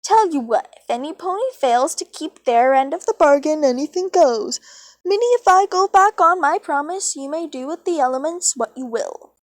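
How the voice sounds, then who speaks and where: A woman's voice: high voice, lively intonation, normal speed, dry recording, pitched above the performer's own voice. A woman voicing a teasing, theatrical male chaos creature villain from a storybook, with a mischievous, mocking manner. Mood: bargaining, grandiose.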